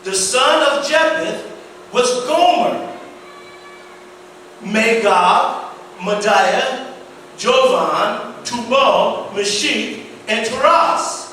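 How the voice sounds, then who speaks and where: High-voiced pastor in an echoey hall. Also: male voice, animated, pushed and bright, slight background noise. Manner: slow, stumbling over Hebrew names, repeats words. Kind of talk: Bible teaching sermon. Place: church hall. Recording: echoey hall, voice far from mic.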